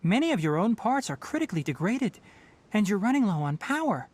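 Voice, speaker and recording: A male actor playing a thoughtful, principled young forest ranger in a video game, speaking in a measured, articulate way. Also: high voice, very animated. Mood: calm, kindly. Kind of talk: video game dialogue acting.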